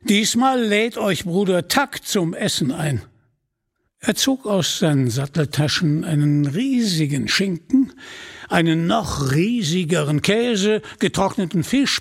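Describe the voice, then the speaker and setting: A man's voice: fairly high pitch, vocal fry, slightly rough. A man narrating a scripted storybook tale as an audiobook reader.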